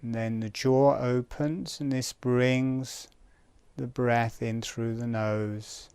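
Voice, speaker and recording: Male relaxation instructor, very slow pacing, calm and gentle, short spaced phrases, dry close sound. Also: medium pitch, clear, resonant tone, no echo. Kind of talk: guided relaxation exercise instruction.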